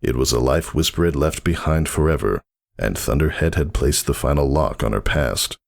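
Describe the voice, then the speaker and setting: A man's voice: extremely low pitch, animated, normal speed, vocal fry. A man narrating a story as a dramatic reading, solemn and theatrical. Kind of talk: dramatic scripted fan fiction narration.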